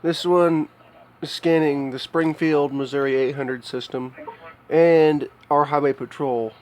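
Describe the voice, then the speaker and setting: An American man talking casually and off the cuff as he shows electronics, explaining in a halting, plain-spoken way. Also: on the high side, steady tone, a little mellow, a little hiss or hum. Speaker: hobbyist video maker showing electronics. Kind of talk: casual unscripted show-and-tell. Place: indoors, cluttered home workshop.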